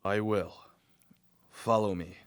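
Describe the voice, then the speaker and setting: A man acting a lonely, spurned man-made monster in a radio play, earnest and deliberate in delivery. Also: fairly low pitch, steady tone, clean tone, no room sound, studio quality. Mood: pleading, wounded. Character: lonely, spurned man-made monster, pleading, menacing, childlike when newborn.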